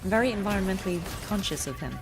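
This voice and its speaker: A woman's voice: mid-range voice, lively intonation, fast talker, over music. A young woman, a voice actor, chatting casually while streaming a game, thinking aloud with lots of fillers.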